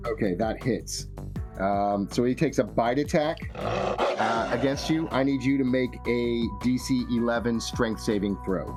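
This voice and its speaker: A man's voice: mid-range voice, measured pace. A man narrating a tabletop role-playing game as game master, casual and free with swearing. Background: music.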